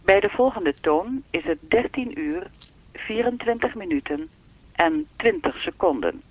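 Slow, tinny telephone speaking-clock woman. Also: fairly high pitch, vocal fry, exaggerated voice. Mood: formal, automatic. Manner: measured, formal, deadpan. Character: lonely, evasive female speaking-clock voice with a will of its own. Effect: telephone filter. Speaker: telephone time announcer. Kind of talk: radio play acting, formulaic announcement turning to dialogue. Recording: narrow, tinny phone-line sound.

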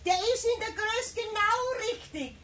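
A woman's voice: very high voice, expressive, a little slow, bright, forceful tone, slight background noise, full character voice. An actress playing a kind, chatty elderly woman with a Bavarian accent in a radio play, fussing cheerfully over a gift. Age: older. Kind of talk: 1970s radio play acting.

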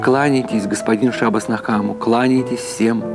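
A man's voice: mid-range voice, fairly even intonation, normal speed, music in the background, close to an everyday voice. A man voicing a naive, credulous innkeeper's wife who gushes eager questions in Yiddish-flavoured phrasing, in a storybook reading. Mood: fond, earnest.

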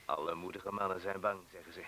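A man's voice: low-pitched, little pitch movement, fast, noisy background, lightly acted. An actor playing a frightened, emotional man stuck beside a bomb in a radio play. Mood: subdued. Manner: emotional, swinging between subdued and pleading. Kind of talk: Dutch radio play acting.

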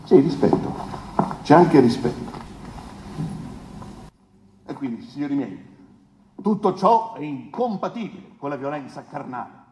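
An actor playing a pompous male defence lawyer in a 1970s trial, pleading with grand, insinuating rhetoric and dramatic pauses in a theatrical stage drama. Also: on the high side, big pitch swings, mellow. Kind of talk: theatrical courtroom oration. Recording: echoey reverberant hall, noisy background.

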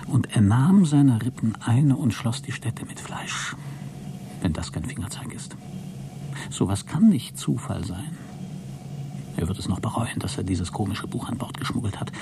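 A man's voice: mid-range voice, background noise, lightly acted. A man playing a mocking, scheming astronaut in a satirical science fiction radio drama. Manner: sardonic, needling. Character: mocking, scheming male astronaut, resentful of his crewmate.

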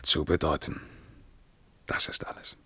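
An actor playing an imperious, brooding emperor in a verse radio drama, declamatory and commanding in a solemn, measured way. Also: male voice, bright, forceful tone, no room sound, whispered. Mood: grandiose, exalted. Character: imperious, brooding Byzantine emperor, haughty and troubled.

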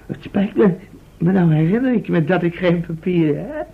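An actor playing a chatty, cheeky and excitable manservant and driver in a Dutch radio play. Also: male voice, sits high, normal speed, phone-quality sound. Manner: excitable, questioning. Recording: thin old radio recording, clear noise.

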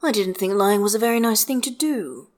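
A woman's voice: mid-range voice, brisk, darkish tone, pitched above the performer's own voice, lightly acted. A woman reading the part of a bemused, fussy adult man who protests in a storybook reading.

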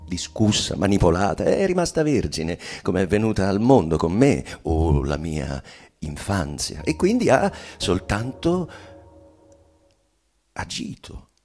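An actor playing a dead bourgeois man bitterly confessing in a theatrical monologue, with halting, weighty phrasing and sudden swells. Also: sits low, sing-song, darkish tone, soft background music, heavily acted. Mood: brooding, searching. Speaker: stage actor giving a dramatic reading. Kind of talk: theatrical monologue reading of a literary play.

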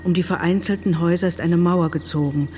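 Female narrator, scripted screenplay reading, short descriptive phrases, clear music underneath. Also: lowish voice, steady tone, quick pace, mellow. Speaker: narrator of an audio play.

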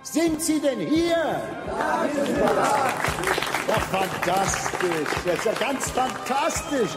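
A bombastic, gushing showman fills a reverberant hall, with music and a crowd behind him. His high voice swoops as he flatters the house in a grandiose, oily radio-play manner. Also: slow, exaggerated voice. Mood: effusive welcome. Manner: grandiose, oily, effusive stage delivery. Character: gushing, theatrical showman compering the end of the universe. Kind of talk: bombastic cabaret master-of-ceremonies patter.

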